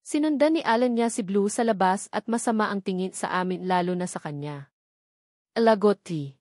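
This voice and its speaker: A woman's voice: mid-range voice, brisk, a little mellow, no echo, clean studio sound. A woman with a Filipino accent reading a scripted first-person story as an audiobook narrator.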